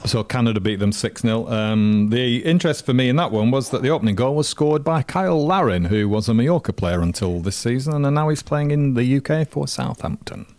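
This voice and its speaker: A man's voice: medium pitch, expressive, fairly quick, hardly any pauses, a little mellow, no echo. A middle-aged man co-hosting a casual football radio chat, teasing his co-host in a chatty, wry way.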